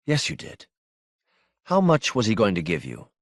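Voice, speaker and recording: A man's voice: fairly low pitch, wildly swooping pitch, moderate pace, no echo, studio quality, full character voice. A man voicing a cold, controlled killer in an audiobook, speaking with precise, insistent menace. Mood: coldly menacing.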